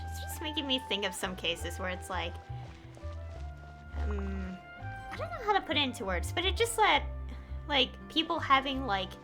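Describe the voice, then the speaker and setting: Slow, animated woman streamer thinking aloud. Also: mid-range voice. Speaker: game streamer. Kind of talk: casual livestream commentary. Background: game music.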